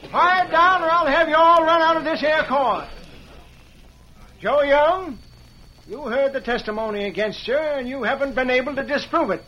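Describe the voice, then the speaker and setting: An actor playing a stern, folksy, exasperated frontier judge in an old-time radio western. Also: male voice, very high-pitched, moderate pace, dull audio. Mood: stern, impatient. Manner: folksy, loud, commanding. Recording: noisy vintage radio broadcast recording.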